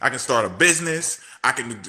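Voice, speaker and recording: A man's voice: mid-range voice, animated, a little fast, pushed and bright. A man in a casual debate chat, talking bluntly with plenty of swearing and often cutting in over the other speaker. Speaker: show host.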